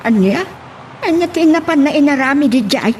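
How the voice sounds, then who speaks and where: Woman acting a sorrowful, gentle older mother. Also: high-pitched, animated, moderate pace, a little hiss or hum. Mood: wistful. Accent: Ilocano. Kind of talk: Ilocano radio drama acting.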